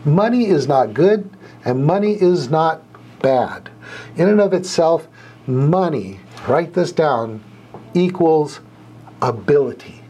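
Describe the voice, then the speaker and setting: Middle-aged man with a cold, slightly high and husky, earnest folksy instructor who repeats himself. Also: lively intonation, slow pace, mellow. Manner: earnest, folksy and repetitive, with sighs. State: has a cold, clearing throat, sniffly. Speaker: hypnotherapy instructor and podcast host. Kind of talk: casual teaching talk to camera.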